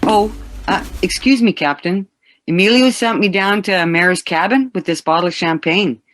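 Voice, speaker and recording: A woman's voice: medium pitch, normal speed, lightly acted. A woman playing a polite, hesitant cruise hostess in a radio play. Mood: polite, awkward. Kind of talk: radio drama acting.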